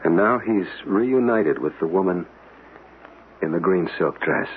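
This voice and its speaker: An earnest, alarmed witness, swinging pitch. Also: male voice, expressive, unhurried. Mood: grave. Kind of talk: radio mystery drama acting. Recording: muffled, noisy old radio broadcast.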